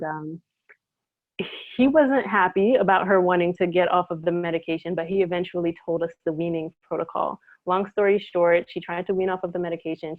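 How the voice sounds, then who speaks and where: Woman guest on a remote call, lower voice, tinny line, steady and earnest. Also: no room sound. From Trinidad. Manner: measured, earnest, many ums. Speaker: guest, naturopathic doctor. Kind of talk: interview answers, personal story. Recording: tinny phone-like line, dry.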